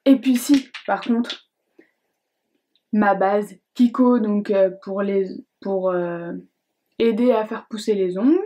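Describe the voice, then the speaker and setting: A woman's voice: medium pitch, slow, long pauses, highs cut off. A young adult woman chatting casually to camera as a beauty vlogger, hesitant and chatty, with many fillers and restarts. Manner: reads questions aloud in a brighter voice.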